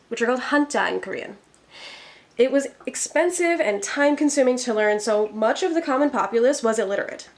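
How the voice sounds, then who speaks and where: A woman from Minnesota teaching a Korean lesson in an upbeat, friendly tone. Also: on the high side. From Minnesota, USA. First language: English. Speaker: Korean tutor. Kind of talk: scripted educational narration.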